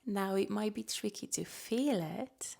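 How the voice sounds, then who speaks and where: A woman guiding a relaxation meditation as a yoga nidra teacher, soft-spoken and gentle, offering unhurried invitations. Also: medium pitch, creaky.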